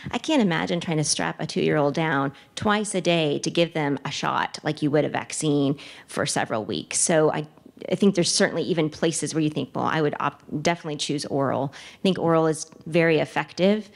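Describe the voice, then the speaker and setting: A woman in her thirties with a low voice answers questions from the floor as a child neurologist. She speaks into a handheld mic in a conference room, thinking aloud with fillers and restarts. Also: vocal fry. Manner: thoughtful, with many fillers and restarts; gestures with her free hand while speaking. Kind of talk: answering questions at a medical talk. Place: conference room in front of a wooden wall. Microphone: handheld microphone.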